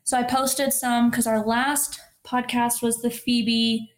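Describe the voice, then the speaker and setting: A woman's voice: on the high side, flat delivery, slow. A young woman in her twenties with a nasal voice, co-hosting a podcast in casual chat, swearing and laughing often. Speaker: podcast co-host.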